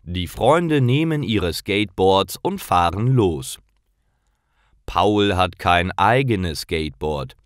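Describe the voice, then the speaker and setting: A man reads a story for language learners in a slow, carefully articulated scripted narration. His voice sits a little low and moves expressively in pitch. The recording is close and dry with no room sound. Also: expressive, no room sound.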